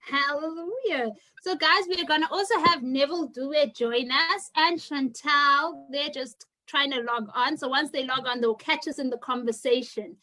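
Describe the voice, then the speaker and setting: A woman's voice: high-pitched, normal speed, few pauses, sharp tone, low-quality recording. A woman hosting a casual video-call chat, playful and calling her guests honey. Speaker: host.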